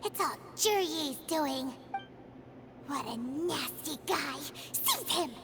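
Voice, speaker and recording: A tiny, childlike video game companion. The voice is extremely high and squeaky, very slow and full of excitable indignation. Clear background noise accompanies it. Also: female voice, heavily acted. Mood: indignant.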